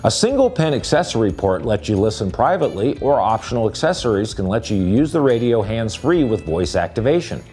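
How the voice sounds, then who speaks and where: Male presenter for scripted gear review narration, lively inflection, music underneath. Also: fairly low pitch, a little fast, hardly any pauses.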